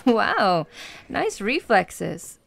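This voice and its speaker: Actress for flirty, self-assured tomboy MMA trainer, ASMR roleplay. Lowish-energy breathy delivery, unhurried, gravelly fry, playful seduction. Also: female voice, very animated, a bit bright, slight background noise, heavily acted. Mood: excited, encouraging. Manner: slow, teasing, giggly, encouraging. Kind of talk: ASMR roleplay, personal address to listener.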